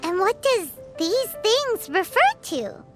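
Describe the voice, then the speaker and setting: A woman voicing a tiny excitable, bossy childlike fairy companion in a video game, chirpy and talking about herself in the third person. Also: very high voice, slow, heavily acted. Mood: curious. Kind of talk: video game character dialogue. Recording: bouncy music behind.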